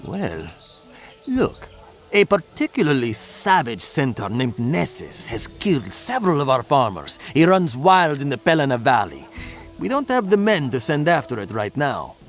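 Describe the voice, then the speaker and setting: Curt, haughty Spartan guard, animated. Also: male voice, mid-range voice, moderate pace, soft background music. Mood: stern, brusque. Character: gruff, dismissive Spartan officer guarding his general. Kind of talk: video game character dialogue.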